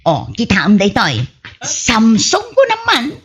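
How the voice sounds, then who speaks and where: Woman acting excitable high-voiced Ilocano wife. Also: unhurried, husky, dull audio, heavily acted. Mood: gleeful. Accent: Filipino, Ilocano. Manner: exclaiming, animated. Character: excitable, bickering wife and mother, gossipy and scatterbrained. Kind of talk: Ilocano radio comedy drama acting.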